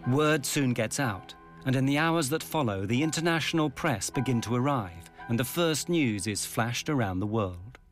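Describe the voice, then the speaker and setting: A man reads documentary narration in a measured, grave way. A strong musical score runs beneath his voice. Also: mid-range voice, lively intonation, faint music behind.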